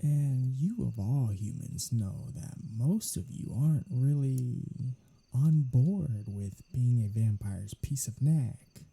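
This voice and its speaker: Snarky vampire for ASMR roleplay performer acting, very breathy and mellow, unhurried but lively in pitch, casually menacing and teasing. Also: exaggerated voice. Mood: wry explaining. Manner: sardonic, casual, swearing, teasing. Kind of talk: soft-spoken ASMR audio roleplay.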